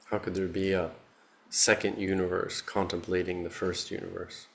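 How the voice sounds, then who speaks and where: Low flat-voiced guy leading a meditation, slow and calm with long pauses. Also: gravelly. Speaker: meditation teacher. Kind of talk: guided meditation.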